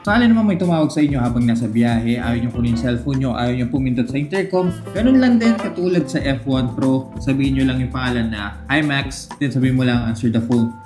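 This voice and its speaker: A man's voice: medium pitch, expressive, fairly quick, hardly any pauses, a little mellow. A man with a Filipino accent giving a casual, conversational product walkthrough as a reviewer, explaining things and mixing in English phrases. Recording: music behind the voice.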